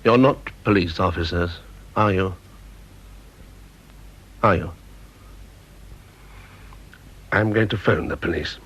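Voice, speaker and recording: A man's voice: deep voice, a little slow, a bit bright, husky, heavily acted. An actor with an English accent playing a brusque, impatient security supervisor and father in a radio drama. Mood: stern, demanding. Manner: brusque, authoritative, dismissive.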